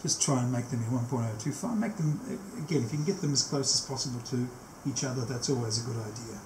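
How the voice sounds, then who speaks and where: The recording has a clearly roomy echo and faint noise, as from a camera mic in a small workshop. A man in his sixties speaks at a medium pitch, walking through a practical task step by step. His delivery is unscripted, with pauses as he works. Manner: step-by-step explaining, often pausing mid-task. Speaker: technician demonstrating a tape machine setup. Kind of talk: hands-on demonstration, unscripted walk-through. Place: small cluttered indoor workshop. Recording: echoey room, faint background noise.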